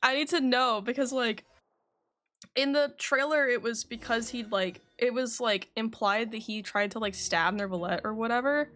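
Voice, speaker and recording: A woman's voice: fairly high pitch, moderate pace, a bit bright. Casual, rambling woman game streamer. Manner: rambling, informal, giggly. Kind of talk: casual livestream reaction chat. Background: faint game music.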